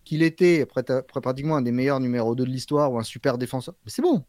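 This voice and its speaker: A man's voice: mid-range voice, animated, fast, darkish tone, dry recording, clean studio sound. A man in his forties co-hosting a casual podcast, wry and emphatic. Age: 40s. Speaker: podcast co-host. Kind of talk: casual chat.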